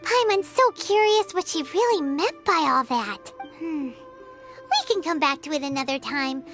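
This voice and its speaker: A woman voicing a tiny floating girlish companion sprite who is chatty, excitable and nosy, in a video game cutscene. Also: high voice, unhurried, over music. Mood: chirpy. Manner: chatty, excitable, talks of herself in third person.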